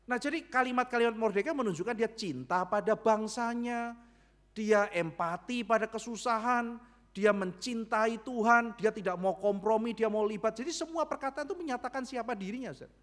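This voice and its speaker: A man preaching a sermon as pastor, speaking, asking the congregation rhetorical questions and acting out biblical characters in quick dialogue. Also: very high voice, fairly bright tone. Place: church.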